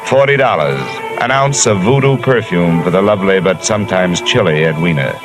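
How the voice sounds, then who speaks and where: A hard-boiled insurance investigator, as an actor plays him, narrating in a low, clipped voice. The old radio recording has loud music behind the words. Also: male voice, moderate pace, natural voice. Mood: terse. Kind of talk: 1950s radio drama narration.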